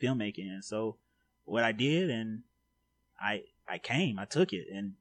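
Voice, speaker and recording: A young man from Louisiana, hosting a podcast in a loud, joking hype-man way. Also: lowish voice, expressive, unhurried. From Louisiana, USA. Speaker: podcast host. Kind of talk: casual chat.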